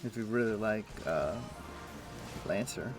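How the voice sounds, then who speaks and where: Low gravelly man, casual gaming stream. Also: measured pace, music in the background. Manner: relaxed, thinks aloud with many fillers. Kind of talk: casual chat while gaming.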